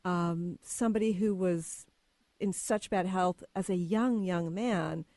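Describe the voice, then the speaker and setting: A woman hosting a radio talk show, chatting casually with a guest, often pausing with fillers. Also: on the low side, a little mellow, dry recording. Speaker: radio talk show host and energy healer. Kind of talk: casual interview chat.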